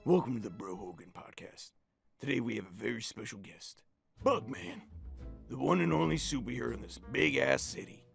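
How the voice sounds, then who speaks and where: Man playing gravelly, brash podcast host. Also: medium pitch, expressive, moderate pace, a bit bright, faint music behind. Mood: hyped. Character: brash, laid-back male podcast host. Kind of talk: animated parody of podcast interviewing.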